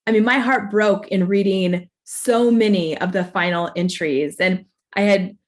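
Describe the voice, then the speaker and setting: A woman's voice: mid-range voice, a little slow. A woman professor presenting at an online awards ceremony, speaking informally in an earnest, explanatory way. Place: online video call.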